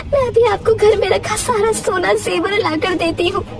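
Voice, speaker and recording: A woman's voice: very high-pitched, normal speed, music in the background, pitched above the performer's own voice. A woman voicing a grieving, trusting village mother in a cartoon moral tale, tearful and imploring. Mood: desperate, devoted.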